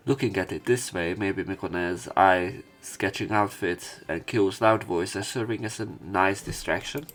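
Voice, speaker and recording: Man, lowish voice, sick, halting read-aloud narration, casual game commentary, faint music behind. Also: fairly bright tone. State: sick with a cough. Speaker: let's play narrator and commentator.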